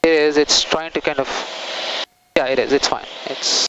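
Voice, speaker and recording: A man with a fairly high voice talks as a pilot, mixing cockpit chat with radio calls. He is on an aviation headset, with clear engine noise and radio traffic around him. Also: fairly even intonation, normal speed. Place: small plane cockpit in flight. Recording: noisy small aircraft cockpit intercom.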